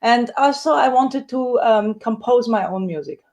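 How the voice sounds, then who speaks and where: A woman, a soprano singer, telling her story in a casual interview with lively acted-out quotes. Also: unhurried. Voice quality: high dramatic coloratura soprano voice.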